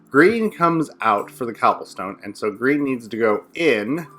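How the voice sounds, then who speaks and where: A man's voice: mid-range voice, pushed and bright. A young man giving casual commentary as he plays a building game with a friend, talking himself through each step. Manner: rapid thinking aloud while building. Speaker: co-host playing a modded game.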